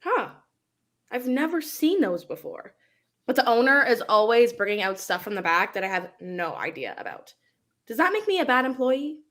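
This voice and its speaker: A woman plays a young thrift store clerk in a stage drama reading. She is chatty and casual, with a highish voice that moves up and down in a lively way. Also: moderate pace. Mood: curious, casual. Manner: casual, chirpy.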